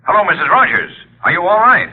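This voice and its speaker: An actor playing a businesslike police officer on the telephone in a 1940s radio drama, brisk and matter-of-fact. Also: male voice, sits high, wildly swooping pitch, exaggerated voice. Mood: checking, concerned. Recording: muffled, rough old radio recording.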